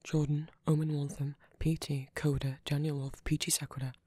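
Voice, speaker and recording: An ASMR audio creator reading a scripted outro and credits in an even, list-like way. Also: mid-range voice, moderate pace, slightly rough.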